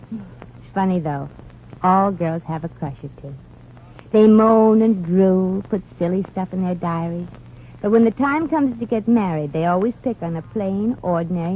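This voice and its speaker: Actress as a wisecracking dame on muffled old radio. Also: female voice, medium pitch, natural voice. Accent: American. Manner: folksy, slangy, wisecracking. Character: brassy, wisecracking young woman with folksy Southern airs. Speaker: lead actress and narrator of a comedy series. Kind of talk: 1940s radio comedy acting. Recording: noisy, band-limited old radio recording.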